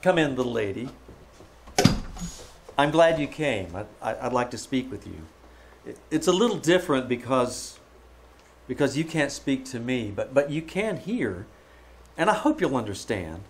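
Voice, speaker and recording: An actor playing a kindly, courteous young prince in old-time radio drama style, gentle and a little hesitant in his speech. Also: medium pitch, big pitch swings, normal speed, pauses often. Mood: gentle, awkward. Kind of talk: old-time radio drama acting, live stage performance.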